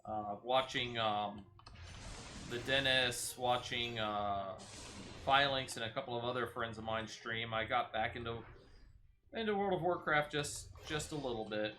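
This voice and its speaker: A man's voice: medium pitch, unhurried, bright, forceful tone, slight background noise. A man who streams games, chatting casually and conversationally with a viewer while he plays. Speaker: game streamer. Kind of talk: casual live-stream chat while playing a game.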